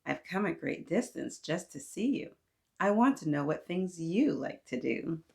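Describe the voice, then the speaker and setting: A woman's voice: fairly low pitch, expressive, a little slow, vocal fry. Woman playing an exuberant, eccentric storybook aunt. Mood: warmly inviting. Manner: theatrical, exclaiming. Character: eccentric, exuberant, worldly aunt in a picture book.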